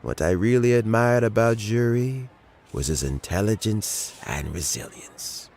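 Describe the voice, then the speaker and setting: An actor playing a dignified, thoughtful elderly statesman in a video game, speaking in a measured, formal way with a gravelly voice. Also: fairly low pitch, animated, a little mellow. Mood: wistful, concerned. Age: older. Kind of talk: scripted video game dialogue. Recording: music behind the voice.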